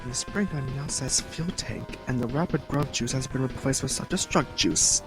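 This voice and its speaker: An actor playing a cheerful, cocky and naive superhero who bumbles along overconfidently in a cartoon story. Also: male voice, medium pitch, moderate pace, music in the background, natural voice. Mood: puzzled, dim. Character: cheerful, dim-witted grown man superhero, cocky and naive. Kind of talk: cartoon fandub audiobook.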